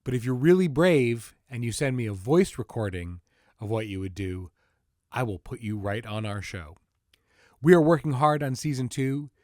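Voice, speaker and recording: A man speaks as a podcast host in an informal, conversational way, slipping in dry asides. His pitch is mid-range, and the recording is close and dry with very little room sound. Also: lively intonation, moderate pace, mellow, no echo. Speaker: podcast host and series creator. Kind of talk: casual podcast introduction and conversation.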